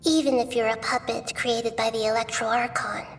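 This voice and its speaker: A woman voicing a wise, young-looking goddess in a game cutscene, speaking in a calm, knowing and gently mysterious way. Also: fairly high pitch, normal speed, slight background noise, close to an everyday voice. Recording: distant-sounding voice with loud music. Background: game score music.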